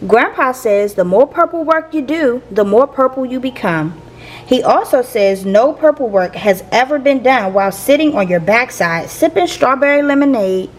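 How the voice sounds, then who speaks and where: A woman reading a picture book aloud to young listeners in a playful, expressive teacher's manner. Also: high-pitched, moderate pace, slight background noise, rough recording. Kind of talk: expressive children's read-aloud.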